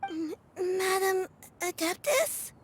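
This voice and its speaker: A woman's voice: very high-pitched, lively intonation, slow pace, noisy background, heavily acted. A woman voicing a timid, earnest young child in a video game, hesitant and sincere in delivery. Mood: shy, tentative.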